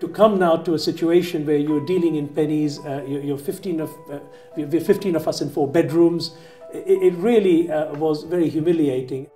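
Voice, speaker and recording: A man gives careful interview testimony, pausing often on fillers. His voice is somewhat high yet mellow and dark-toned, and soft guitar music plays faintly behind. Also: fairly even intonation. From Kenya. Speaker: interviewee, former refugee.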